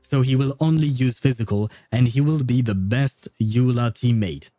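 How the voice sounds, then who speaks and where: A man with a soft, dark-toned voice chats casually as a game streamer, musing out loud and wandering between thoughts. The mic is close and dry, with faint music behind him. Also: medium pitch, normal speed, dry recording. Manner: musing, rambling, thinking aloud. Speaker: game livestreamer. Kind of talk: casual livestream chat, answering viewers.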